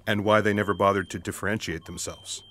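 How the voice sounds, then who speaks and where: A man's voice: fairly low pitch, little pitch movement, fast, pushed and bright, slight background noise. A man playing an earnest, stubborn investigator who explains things in wordy, formal logic in a sci-fi comedy audio drama.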